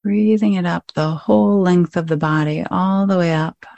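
A woman leading a guided meditation, gently inviting the listener in short phrases with long pauses between them. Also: lowish voice, vocal fry, no room sound, lo-fi sound. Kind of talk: guided meditation, slow spoken instruction.

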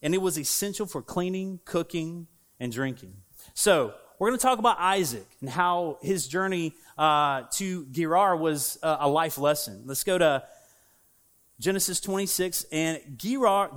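A man's voice: a little high, expressive, moderate pace, fairly bright tone. A man with a Southern American accent preaching a sermon as a pastor, in a casual, conversational way with frequent fillers and asides to the congregation. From United States. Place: church sanctuary.

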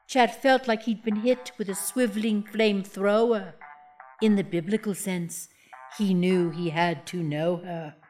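A woman playing a pushy, eccentric woman poet who runs a feed store, insisting and then reciting in slow, dramatic verse. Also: medium pitch, darkish tone, natural voice.

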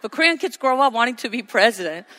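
A woman's voice: fairly high pitch, lively intonation, fast, sharp tone. A woman pastor preaching a sermon, earnest and asking her congregation questions. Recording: hall reverb.

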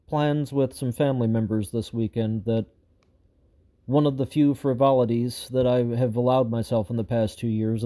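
A man with a very dark, mellow voice talks straight to his viewers in an off-the-cuff update. He rambles with frustration, stacking fillers and false starts, and his voice is slightly hoarse. The pitch of his voice sits in a middle range for a man. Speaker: show host giving an update. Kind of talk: unscripted personal update to viewers.

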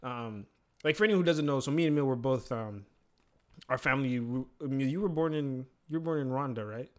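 A young man in his twenties talks easily about his family's past on a podcast. He laughs often and tells his stories in an animated way, his pitch sitting in the middle. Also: a little fast. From born in Zambia, family from Congo. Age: 20s. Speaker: podcast co-host. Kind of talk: casual chat.

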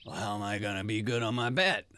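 An actor playing a dying man in hospice in an audio play, wry and resigned, with a halting delivery. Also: lowish voice, sharp tone. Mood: wry, playful.

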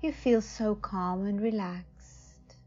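Woman doing guided meditation, very slow and soothing, bit muffled audio, soft music behind. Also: mid-range voice, steady tone. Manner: slow, soothing, with long pauses. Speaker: psychic advisor, meditation guide. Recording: slightly dull audio. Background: faint music.

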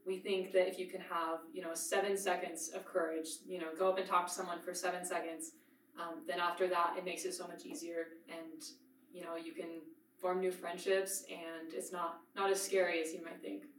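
A young woman from Oregon, a college student, chatting in a relaxed, reassuring way. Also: fairly low pitch, moderate pace, slight background noise. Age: young adult. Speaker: student guest. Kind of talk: casual chat. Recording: roomy and distant.

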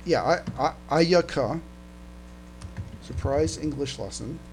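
A man co-hosting a casual fan podcast, chatting haltingly and often repeating his words. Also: a little high, over music, low-quality recording. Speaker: podcast co-host.

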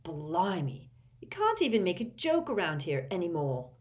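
A woman voicing an excitable, joking teenage boy. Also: on the low side, moderate pace. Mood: indignant. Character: eager cheeky teenage boy.

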